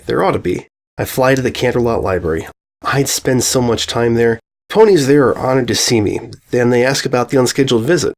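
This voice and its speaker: A man reading a storybook tale as a young female pony, manic, cheerful and anxious, in an expressive, theatrical, self-questioning manner. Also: medium pitch. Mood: self-doubting, restless. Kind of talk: first-person dramatic storybook narration of fan fiction.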